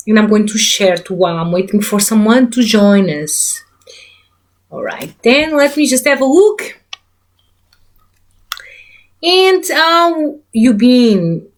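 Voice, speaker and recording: Portuguese-accented woman, a psychic medium hosting a live stream, chatty, fairly high voice. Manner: chatty, greets viewers by name, repeats catchphrases. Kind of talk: casual live-stream chat.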